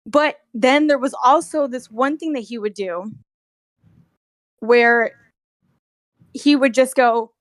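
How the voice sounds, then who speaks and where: A woman telling work stories as a guest in a casual, animated, giggly chat with some swearing, on an amateur recording. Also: sits high, slow, long pauses, no room sound. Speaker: guest, costumed theme park character performer. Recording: amateur recording with bad audio.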